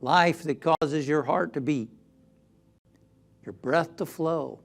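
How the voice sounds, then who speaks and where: A man leading a guided meditation as a minister, gentle and soothing, often softening toward a whisper. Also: fairly high pitch, takes it slowly, vocal fry, soft background music.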